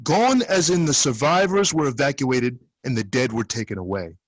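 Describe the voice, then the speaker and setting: A man playing a gruff, middle-aged government soldier in a screenplay table read, curt and blunt with a husky voice. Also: medium pitch, animated, normal speed, lightly acted. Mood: dismissive, impatient.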